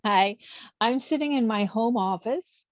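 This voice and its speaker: A woman's voice: on the high side, measured pace, dry recording. Eager woman from east canada on a zoom call, dull dry audio. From Eastern Canada. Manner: excited, chatty. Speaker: viewer calling in. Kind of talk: casual video call chat. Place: home office. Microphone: video call mic.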